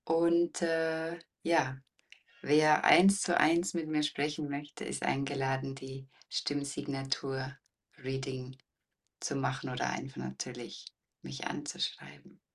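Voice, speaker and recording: A woman with a warm voice pitched well below most women's. She explains things reflectively and very slowly, with deliberate pauses, as a coach being interviewed. The recording places her a little away from the microphone. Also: level tone, creaky, recorded from a distance. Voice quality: deep, warm. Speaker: interview guest, voice coach and former singer.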